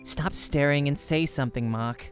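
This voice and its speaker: A man's voice: on the high side, normal speed, faint music behind, natural voice. A man voicing a curt, dismissive, competitive woman pro gamer in a visual novel. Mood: curt, cool.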